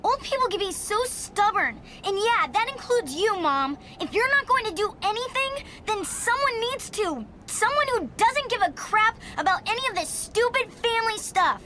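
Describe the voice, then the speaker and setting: An actress playing a bold, impatient young daughter in a video game, sassy and urgent in manner. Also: female voice, very high-pitched, animated, moderate pace, few pauses, noisy background. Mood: defiant. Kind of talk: video game cutscene dialogue.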